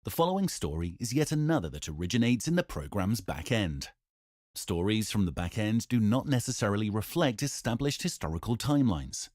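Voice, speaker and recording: A formal announcer reads an official notice in a lowish voice with animated intonation. The sound is very dry and close, and the delivery is measured and bureaucratic. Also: male voice, no echo. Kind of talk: scripted fiction podcast announcement.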